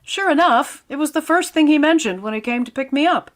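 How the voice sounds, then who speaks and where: A woman performs as a wry schoolteacher in a 1940s-style radio comedy. She declaims with theatrical flair, her highish voice swinging widely in pitch. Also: animated, fairly quick. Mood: wry storytelling. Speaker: lead actor in a radio comedy.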